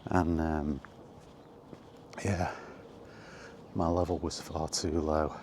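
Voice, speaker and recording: A man's voice: extremely low pitch, level tone, extremely slow, noisy background. A man chatting casually in a rambling, hesitant vlog style, thinking aloud as he goes. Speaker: gaming content creator and podcaster.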